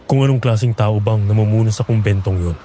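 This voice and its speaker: A man's voice: lowish voice, a little fast, mellow, slight background noise, close to an everyday voice. A man playing an earnest, desperate young man in an audio horror drama. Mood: urgent.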